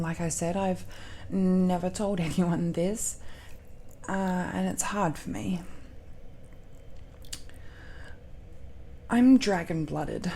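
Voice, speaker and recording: A woman performs a secretive, affectionate dragon-blooded girlfriend in a romantic audio roleplay. She speaks very slowly in a warm, dark tone, tender and hesitant, with many pauses. There is a light noise behind the voice. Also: fairly low pitch. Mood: nervous, confessing. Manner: hesitant, tender, pausing often.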